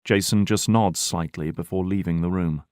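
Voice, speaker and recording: A man's voice: low-pitched, steady tone, normal speed, a little mellow, dry recording, studio quality. A man reading scripted narration for an audio drama.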